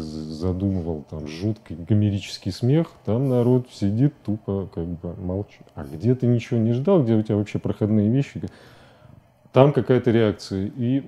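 A man in his forties with a low, very dark and soft-edged voice. He speaks as a guest in a studio interview, thinking aloud in loose, colloquial phrases that often stop and restart. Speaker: interview guest, animation filmmaker. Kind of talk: casual studio interview talk. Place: TV studio, indoors.

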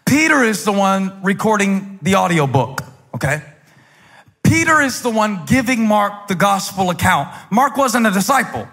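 A husky, fairly high-pitched man preaching from a big church stage, his handheld mic carrying a long ringing reverb. He moves from quiet storytelling to loud, fervent emphasis. He is a pastor in his forties. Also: big pitch swings, normal speed. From United States. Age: 40s. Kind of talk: sermon. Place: large stage in a church hall.

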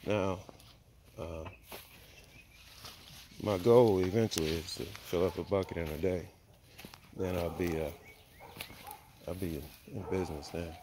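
A man's voice: sits low, fairly even intonation, a little slow, pauses often, mellow, slight background noise. A man giving a casual homestead tour outdoors, thinking aloud with many fillers, filmed on a handheld phone. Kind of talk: casual walk-and-talk vlog. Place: outdoor backyard by chicken coops. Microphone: handheld phone camera.

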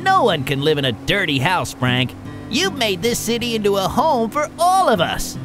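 An actor playing a kind, reassuring tow truck in a children's cartoon, with a calm, encouraging manner. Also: mid-range voice, normal speed, music in the background, natural voice.

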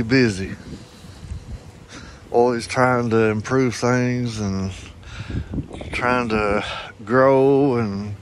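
Folksy man walking his farm. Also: medium pitch. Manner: rambling, folksy, thinking aloud with many fillers. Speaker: farm owner vlogger. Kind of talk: casual walkaround vlog. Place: outdoors on a farm. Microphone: phone held while walking. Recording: wind noise on phone mic outdoors. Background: wind and outdoor ambience.

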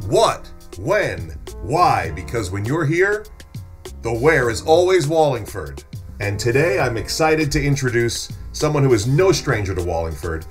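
A man's voice: medium pitch, lightly acted. A middle-aged man playing a genial, teasing local podcast host in a scripted interview. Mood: upbeat welcome. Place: small furnished podcast studio. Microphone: studio microphone on a desk stand.

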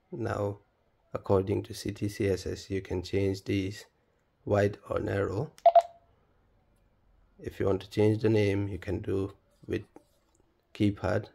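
A man presenting a step-by-step tutorial in a plain, halting, instructional manner. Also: fairly low pitch, gravelly, background noise. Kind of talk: step-by-step spoken tutorial, unscripted.

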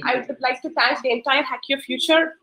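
A woman's voice: high-pitched, fairly even intonation, fairly quick, heavy background noise. A woman presenting her coding project on a video call. She laughs often and speaks in an earnest way. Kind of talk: online presentation talk.

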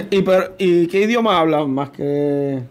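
A man's voice: a little high, takes it slowly, a little mellow. A Puerto Rican man in his thirties hosting a casual studio interview, joking and laughing often, full of slang. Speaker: podcast host. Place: small studio with brick-look wall. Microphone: desk boom mic.

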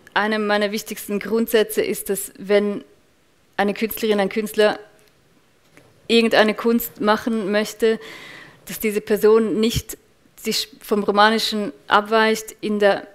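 A woman in her thirties with a Swiss accent, answering on a panel in a thoughtful way, with many restarts. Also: mid-range voice. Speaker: panel guest, culture officer. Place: event room with large windows.

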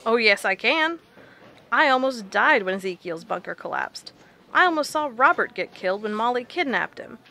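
An actress playing a blunt, stubborn researcher in an audio drama. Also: female voice, a little high, big pitch swings, normal speed, vocal fry, pushed and bright, heavily acted. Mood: firm, defiant. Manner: blunt, firm. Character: blunt, stubborn woman researcher, fed up and resolute.

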